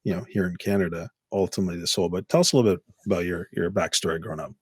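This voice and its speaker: A man's voice: low voice, steady tone, husky, no room sound, studio quality. A man co-hosting a casual video-call talk show, speaking thoughtfully and introducing a guest. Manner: thoughtful, measured, lots of "you know". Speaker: co-host. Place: indoor home room.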